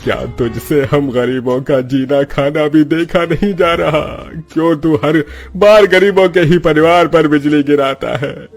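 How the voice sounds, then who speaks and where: A man's voice: a little high, fairly even intonation, unhurried, hardly any pauses, soft background music, voice pushed up. A man voicing an elderly, poor farmer patriarch who laments and pleads in a melodramatic story style. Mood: anguished, defiant. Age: older. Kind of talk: melodramatic Hindi audio story acting.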